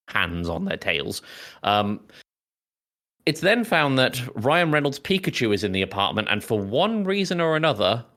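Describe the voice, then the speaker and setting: Low-voiced man rambling through a casual recap. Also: a bit bright. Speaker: podcast co-host. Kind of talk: casual film recap chat.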